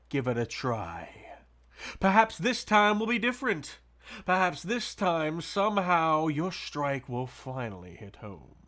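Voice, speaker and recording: A man playing a sadistic, possessive vampire lord in an ASMR roleplay, with a silky, mocking, theatrical drawl and breathy, seductive touches. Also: a little high, a bit bright, heavily acted. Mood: taunting, challenging. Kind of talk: ASMR audio roleplay, intimate dramatic monologue.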